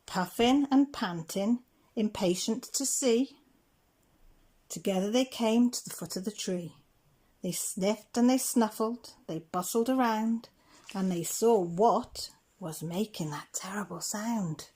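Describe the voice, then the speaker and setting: A woman reading rhyming picture-book stories aloud in a measured, rhythmic way. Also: medium pitch, mellow, breathy edge. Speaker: children's story reader.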